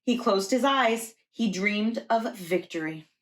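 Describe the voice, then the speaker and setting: A woman's voice: medium pitch, expressive, slow pace. A woman reading a picture book aloud to young children as a preschool teacher.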